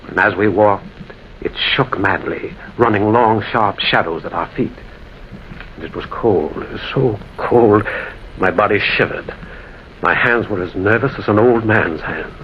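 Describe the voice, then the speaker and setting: An actor playing a concerned railwayman in an old radio drama, telling a ghostly encounter in a dramatic, deliberate, urgent way. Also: fairly low pitch, natural voice. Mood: eerie, grave. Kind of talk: 1940s radio acting, dramatic first-person narration. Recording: dull, hissy old radio recording.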